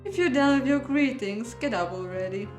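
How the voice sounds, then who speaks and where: A woman's voice: on the high side, animated, normal speed, a little mellow, faint music behind, natural voice. A woman voicing an arrogant young man, a teasing, dismissive aristocratic fiancé in a visual novel. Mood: haughty, dismissive.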